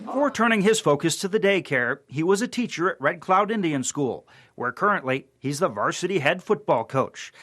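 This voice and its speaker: A man's voice: a little high, animated, normal speed, hardly any pauses, a bit bright. A man narrating a local TV news feature in a scripted reporter style.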